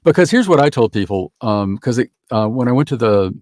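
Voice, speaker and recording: A man's voice: mid-range voice, expressive, fairly quick, a little mellow, no echo. Male podcast guest, dry close sound, earnest and emphatic, repeats phrases for weight. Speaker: guest actor. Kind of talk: casual podcast chat.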